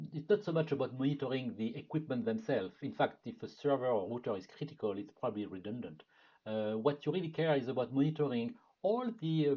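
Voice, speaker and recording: A man with a French accent walks through a product presentation, pausing often on filler sounds. His voice is mellow and mid-pitched. The webinar audio is dull and lo-fi. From France. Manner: measured, frequent filler sounds.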